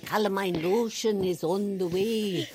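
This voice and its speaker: Woman as slow, soothing grandmother. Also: mid-range voice, over music, lightly acted. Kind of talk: scripted audio drama acting.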